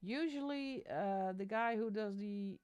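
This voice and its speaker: Woman streamer ranting on a desk mic, slow unhurried talk, dry close sound. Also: mid-range voice, darkish tone, dry recording. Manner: ranting, exasperated asides; sings along to music between chat. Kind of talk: casual chat while coloring on stream. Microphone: desk microphone.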